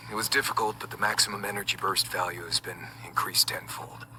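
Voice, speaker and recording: Low, dry, grudging underground dealer played by a man. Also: fairly even intonation, a little fast, in a whisper, lightly acted. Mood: matter-of-fact. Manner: dry, sighing, matter-of-fact. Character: wary, grudging underground male tinkerer and dealer. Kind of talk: video game voice acting. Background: loud game music.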